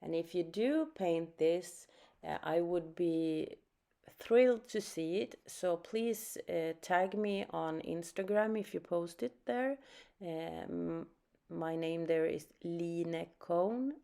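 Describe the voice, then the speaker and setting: Soft, low Swedish woman teaching painting. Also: takes it slowly, gravelly. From Sweden. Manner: soft-spoken, thinking aloud with fillers. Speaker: art teacher. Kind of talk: unscripted painting tutorial.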